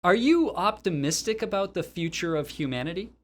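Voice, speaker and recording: A man's voice: sits high, lively intonation, brisk. A man interviewing someone conversationally, putting questions about life and memories.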